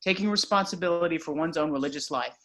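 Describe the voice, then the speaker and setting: A man's voice: a little high, quick pace, bright, forceful tone. A man playing a stern rabbi who lectures his son, at an amateur table read. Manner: scolding, lecturing. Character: stern middle-aged male rabbi father.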